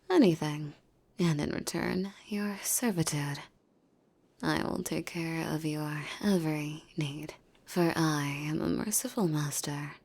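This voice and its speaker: A woman playing a possessive, menacing female demon in an intimate roleplay, speaking formally and commandingly, coaxing and often breathy. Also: low-pitched, a little mellow, heavily acted. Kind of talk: roleplay ASMR acting, intimate monologue.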